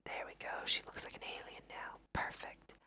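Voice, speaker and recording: A woman softly whispering ASMR game commentary, thinking aloud in an unhurried way as she guides listeners through her play. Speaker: let's play host.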